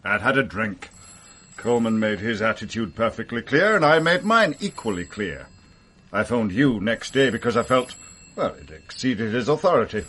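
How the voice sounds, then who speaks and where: An actor playing a haughty titled gentleman in a radio mystery drama, formal, guarded and defensive. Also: mid-range voice, animated, normal speed, husky. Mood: indignant.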